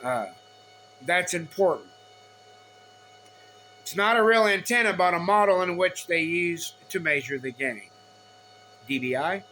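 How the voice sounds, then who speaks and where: High, bright-voiced man explaining over background hum. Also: lively intonation. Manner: halting, repetitive, reads in short phrases. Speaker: explainer of radio antenna measurements. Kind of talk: informal explainer reading from notes.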